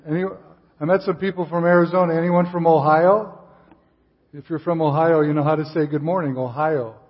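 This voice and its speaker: An older man, a visiting missionary speaker giving a sermon in a church, relaxed, with frequent fillers and restarts. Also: a little high, fairly quick. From Chicago area, USA. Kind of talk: sermon with casual asides. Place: church sanctuary. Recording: distant hall reverb, dull sound.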